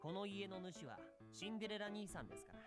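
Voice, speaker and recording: A man's voice: high-pitched, very animated, racing. An actor playing a cool, courteous young man with dry barbs in a Japanese visual novel. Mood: polite, detached. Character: polite, cool, sardonic young man, a brother. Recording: game music behind the voice.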